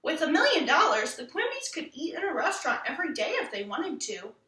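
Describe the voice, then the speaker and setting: A woman reading a children's book aloud in an expressive, animated storytelling manner. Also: high-pitched, a little fast. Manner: animated, acts out the quoted lines. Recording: roomy echo, distant from the mic.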